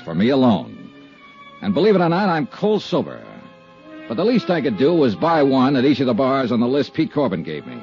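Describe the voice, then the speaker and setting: An American actor playing a brisk, wry, hard-boiled insurance investigator in a 1950s radio detective drama. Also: male voice, mid-range voice, darkish tone. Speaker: lead actor and narrator. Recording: dull vintage radio recording, faint music.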